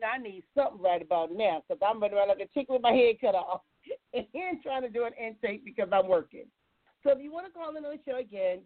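A woman with an American accent hosting a casual, chatty live talk show, asking questions in an informal, folksy, conversational way. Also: medium pitch. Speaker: talk show host taking calls. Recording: thin phone-like audio.

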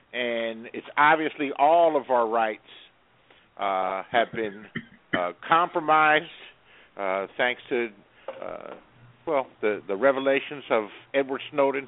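A man talking politics on a casual talk radio show, earnest and exasperated, rambling through fillers as he goes. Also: medium pitch. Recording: tinny phone-like radio audio.

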